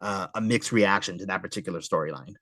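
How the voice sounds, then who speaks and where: A man's voice: deep voice, quick pace, a bit bright, no echo, clean studio sound. A man hosting a podcast, chatting casually and explaining things in a conversational way. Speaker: podcast host. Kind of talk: casual chat about comic books.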